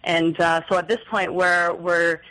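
A woman's voice: fairly low pitch, level tone, measured pace, bright, forceful tone, poor audio. A young woman from New Brunswick, a magazine editor, answering questions thoughtfully in a radio studio interview, with many fillers and restarts. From New Brunswick, Canada. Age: young adult. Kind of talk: radio interview, reading aloud.

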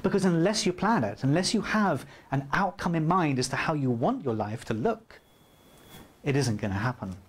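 A man in his forties giving an upbeat, persuasive promotional pitch to camera in an indoor room, on a lapel mic. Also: big pitch swings, moderate pace, slightly rough. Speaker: course presenter. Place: indoor room by a flip chart.

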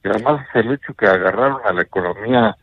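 An older man with a Mexican accent, a bank executive giving measured investment advice on a radio interview, often ending points with a questioning tag. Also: on the low side, no room sound, highs cut off. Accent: Mexican Spanish.

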